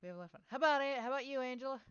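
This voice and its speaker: Clear-voiced joking woman streamer, dry sound. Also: high-pitched, rapid-fire, fairly bright tone, dry recording, highs cut off. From southern United States. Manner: joking, sarcastic. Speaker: livestream host. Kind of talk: casual commentary chat.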